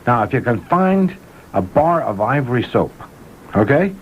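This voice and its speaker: A man's voice: medium pitch, sing-song, moderate pace. A man improvising comic banter with a caller as a radio guest, rambling in a mock-earnest way on a lo-fi broadcast recording. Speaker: comedian co-hosting a radio show. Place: radio studio. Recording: dull lo-fi AM radio recording, faint noise.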